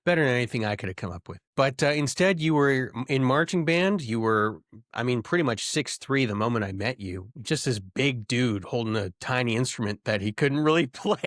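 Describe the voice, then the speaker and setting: A man's voice: medium pitch, lively intonation, brisk, hardly any pauses, a bit bright, no room sound, studio quality. A young man co-hosting a podcast, trading sardonic jokes in casual banter. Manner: sardonic, joking. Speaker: podcast co-host.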